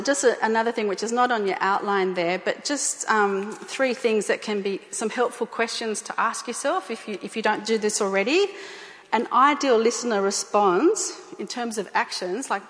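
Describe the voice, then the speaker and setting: A woman's voice: a little high, fairly bright tone. A woman giving an informal talk to a church group, speaking conversationally with fillers and repeated phrases. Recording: echoey hall.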